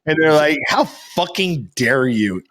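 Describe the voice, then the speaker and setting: Guy on a podcast, high pitched, swoops around a lot, casual and sweary. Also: big pitch swings, unhurried, fairly bright tone. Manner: informal, heavy swearing. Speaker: podcast co-host. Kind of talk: casual chat with mocking quotes.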